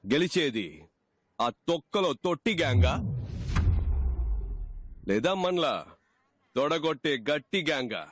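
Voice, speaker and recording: An actor playing a bold, defiant young gang leader, speaking in a cocky, taunting, slangy way. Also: male voice, a little high, very animated, a little slow, pauses often, a bit bright, heavily acted. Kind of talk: film drama dialogue.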